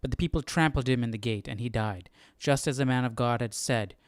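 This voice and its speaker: Clear-voiced man, casual and dry-sounding. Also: medium pitch, fairly quick, dry recording. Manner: informal, thinking out loud, paraphrasing in slang. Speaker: host of a live Bible study stream. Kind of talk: casual read-aloud and commentary.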